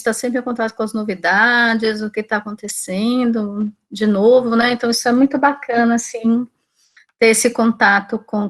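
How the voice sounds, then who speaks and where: Brazilian woman teacher giving an informal talk. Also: a little high. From Salvador, Bahia, Brazil. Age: 40s. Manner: conversational, often checks in with tag questions. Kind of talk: informal online talk about own career.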